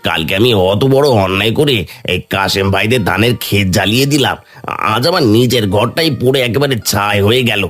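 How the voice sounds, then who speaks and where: A man's voice: on the low side, fairly quick, fairly bright tone. A man playing a remorseful village wrongdoer in a cartoon, moaning and lamenting. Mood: despairing, remorseful. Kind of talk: Bengali fairy-tale cartoon acting.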